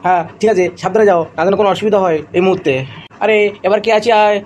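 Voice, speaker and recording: A man plays a crooked cartoon quack doctor who brags and blusters. The voice is high for a man and races along very quickly, with some noise behind it. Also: fairly even intonation, darkish tone, lightly acted. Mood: brisk. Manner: bragging, blustering. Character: boastful shady quack doctor, male, comically crooked. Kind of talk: comic cartoon dialogue.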